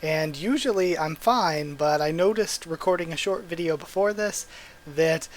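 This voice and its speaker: A hoarse young man with a cracking voice, hosting a casual manga readthrough and chatting excitedly as he goes. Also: on the high side, moderate pace. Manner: chatty, excitable, thinks out loud. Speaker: channel host reading manga aloud. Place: small room at home. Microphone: gaming headset mic.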